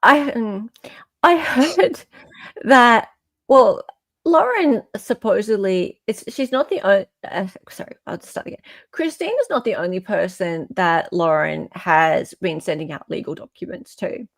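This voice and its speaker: A woman's voice: medium pitch, animated, unhurried, creaky, no echo, studio quality. An Australian woman hosting a live stream in casual, opinionated chat, often checking for agreement as she goes.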